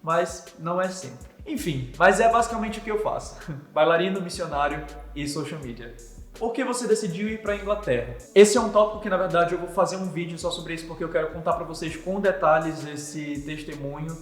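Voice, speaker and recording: A man's voice: a little high, a little fast, fairly bright tone. A young man from Manaus with a Manaus Brazilian Portuguese accent, answering viewer questions in a chatty, earnest vlog. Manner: chatty, earnest, religious talk. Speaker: vlogger and missionary. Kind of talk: casual Q&A vlog. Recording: echoey room with long reverb, faint music.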